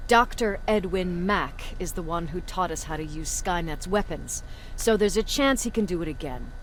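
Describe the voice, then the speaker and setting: An actress playing an embittered, commanding military field commander in a video game, blunt and profane in manner. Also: female voice, lowish voice, lively intonation, normal speed, a little hiss or hum, natural voice, poor audio. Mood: businesslike. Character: hard-edged, embittered female resistance field commander. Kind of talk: dramatic video game acting.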